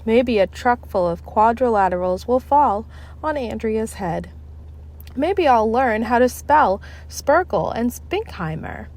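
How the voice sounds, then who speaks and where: A woman narrating a children's book aloud in an expressive, dramatic, deliberate style. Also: fairly high pitch. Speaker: storybook reader.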